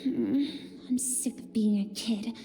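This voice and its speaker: A spirited, dreamy young girl from a video game. Her voice is high and lilting, unhurried but eager. It rings with long reverb. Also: vocal fry, dark tone. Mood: wistful, dreamy. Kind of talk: English video game voice acting. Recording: reverberant, game music underneath.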